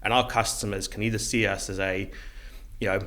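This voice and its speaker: Low-pitched middle-aged man from South Africa. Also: fairly even intonation, a little fast, pushed and bright. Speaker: interview guest, recruitment business co-founder. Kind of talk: conversational podcast interview.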